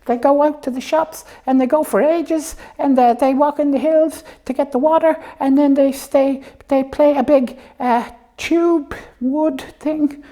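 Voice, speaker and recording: A woman's voice: high voice, steady tone, measured pace, few pauses, dark tone. A woman voicing a silly, self-assured slipper puppet who rambles through comic stories in a hesitant, matter-of-fact way. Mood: rambling, matter-of-fact. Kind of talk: improvised comic puppet show storytelling.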